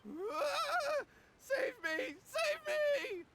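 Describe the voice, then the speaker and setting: A man's voice: very high voice, very animated, very slow, sharp tone, slight background noise, full character voice. A man voicing a nervous, guilty young man in a video game, anxious, apologetic and cowardly. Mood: terrified. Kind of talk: video game character voice acting.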